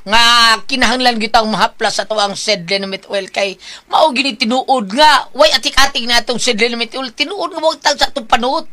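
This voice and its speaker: A woman's voice: sits high, pushed and bright. A woman with a Cebuano accent hosting a radio show and endorsing products in a conversational, ad-lib way.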